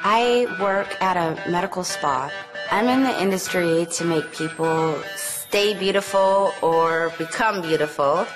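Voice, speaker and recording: A young woman with a low-pitched voice talks upbeat and earnest in a living-room interview. A music bed plays under her words, picked up on a clip-on mic. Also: measured pace. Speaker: reality show contestant. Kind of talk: reality show confessional interview.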